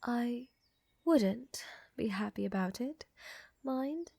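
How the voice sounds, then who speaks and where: Woman acting as vampire countess, seductive and tender, slow measured delivery, breathy near-whisper, close dry microphone, intimate ASMR roleplay. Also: on the high side, animated, no room sound, exaggerated voice. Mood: wistful, earnest. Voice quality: soft, breathy, often whispering. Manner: slow, refined, affectionate, gently dominant.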